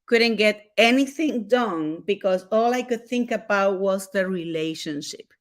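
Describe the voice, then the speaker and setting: A woman's voice: medium pitch, unhurried. A woman hosting an online interview show, introducing her guest in an effusive, gushing way. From USA. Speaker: host.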